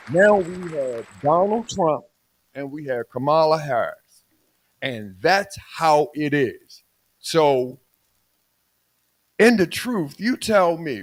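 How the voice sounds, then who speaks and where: A man in his sixties co-hosting a podcast, talking in a preachy, emphatic way and drawing out his phrases. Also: fairly high pitch. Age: 60s. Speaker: podcast co-host. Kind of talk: casual podcast chat.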